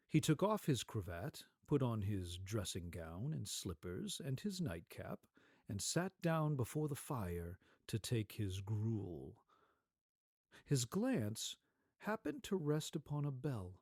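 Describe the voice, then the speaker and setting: A man narrating a story dramatically, with theatrical emphasis and deliberate phrasing. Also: medium pitch, a little mellow, dry recording, studio quality.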